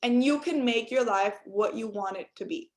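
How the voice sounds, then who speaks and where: A woman's voice: on the high side, moderate pace. A young adult woman from Cuba giving an earnest motivational talk on a group call, speaking straight to her listeners. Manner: earnest, direct, addresses listeners as you all. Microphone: call audio.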